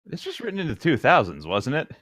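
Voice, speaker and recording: A man's voice: fairly high pitch, wildly swooping pitch, quick pace, a bit bright, no room sound, clean studio sound. A man co-hosting a podcast, chatting casually and reading passages of a novel aloud. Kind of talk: casual banter and read-aloud.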